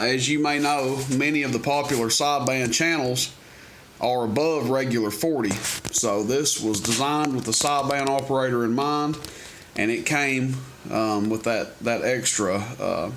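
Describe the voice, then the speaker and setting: A man's voice: mid-range voice, normal speed, a bit bright. A man giving a casual, folksy product demo in a rambling, unscripted way, like a seller showing off equipment. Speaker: presenter demonstrating and selling CB radios. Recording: noisy amateur camera recording, clicks.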